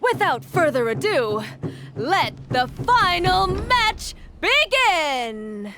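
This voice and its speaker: A woman's voice: very high-pitched, very animated, very slow, noisy background, heavily acted. A woman playing an upbeat, ceremonious tournament announcer in an anime game, drawing out her delivery.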